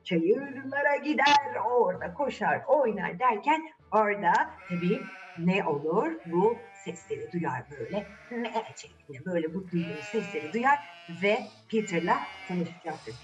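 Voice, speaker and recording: A woman hosts a children's fairy tale with a very animated, swooping delivery. She chats directly to young listeners and asks them questions. The sound is a little distant and dull, with faint music behind her. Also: medium pitch, moderate pace, few pauses. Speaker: storyteller and channel host.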